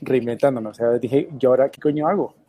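Man in his thirties, interview guest, mellow relaxed voice, dry close sound. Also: medium pitch, fast talker, no echo. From Colombia. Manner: relaxed, storytelling, swears casually. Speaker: guest, web designer. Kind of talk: casual interview.

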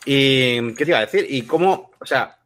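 A man's voice: medium pitch, animated, moderate pace, fairly bright tone. Rambling man asking loose questions. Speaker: podcast co-host. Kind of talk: casual interview.

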